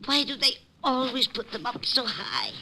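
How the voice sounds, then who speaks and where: A fluttery old librarian. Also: female voice, high voice, sing-song, moderate pace, gravelly, heavily acted. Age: older. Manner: fluttery, prim, easily alarmed. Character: gray-haired older female librarian, fussy and kind. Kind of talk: 1950s radio drama acting. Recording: old radio broadcast with light hiss.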